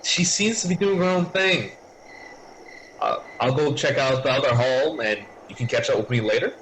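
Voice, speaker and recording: Mild-mannered young man played by a man, medium pitch, hesitant casual delivery, faint night noise. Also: expressive, normal speed, fairly bright tone, rough recording. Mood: unsure. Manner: tentative, hesitant. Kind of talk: dramatic reading, casual dialogue.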